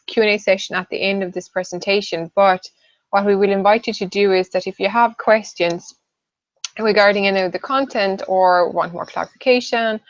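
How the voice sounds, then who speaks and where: A woman in her late thirties from southern Sweden, co-hosting a webinar as a nutritional therapist and speaking casually with frequent ums and pauses. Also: medium pitch, no echo. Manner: hesitant, many ums and "I suppose". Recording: dull, dry lo-fi audio.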